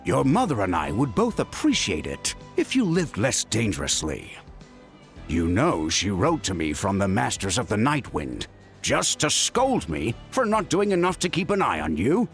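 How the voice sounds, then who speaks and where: A man's voice: lowish voice, moderate pace, faint music behind. An actor playing a caring, middle-aged father and healer in a video game, chiding and chatting in an exasperated way. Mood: exasperated, scolding. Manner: animated, chiding, conversational.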